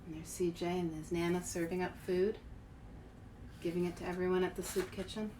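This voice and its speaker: A woman hosting a children's read-aloud, expressive and speaking directly to young listeners. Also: lowish voice, fairly quick. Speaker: author and show host. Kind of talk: children's picture book read-aloud with casual asides. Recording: faint background noise.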